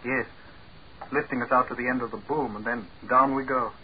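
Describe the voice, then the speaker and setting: An actor playing a deferential scientist in a 1940s radio drama, who explains things patiently and politely. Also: male voice, medium pitch, steady tone, moderate pace, natural voice. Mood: explaining. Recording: muffled old radio broadcast with faint hiss.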